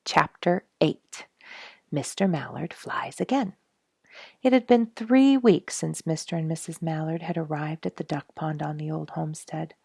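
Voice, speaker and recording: A woman reading a children's storybook aloud in an expressive, emphatic manner. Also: deep voice, measured pace, vocal fry, slightly rough, no echo, studio quality. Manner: expressive, emphatic on sound words. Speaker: storybook reader and host.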